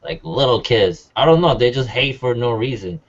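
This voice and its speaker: A man's voice: medium pitch, lively intonation, normal speed. Male panel guest, middle register, loose slangy talk, a touch lo-fi. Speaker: panelist on a music talk show. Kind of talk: casual, slangy chat. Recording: slightly dull, muffled audio.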